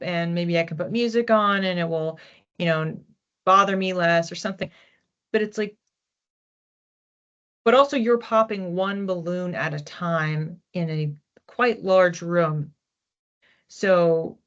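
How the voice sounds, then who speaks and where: A woman giving a psychic reading, musing aloud and often repeating words as she searches for what comes through. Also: on the low side. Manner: hesitant, repeats words while searching for images. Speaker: psychic reader. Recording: dull lo-fi recording.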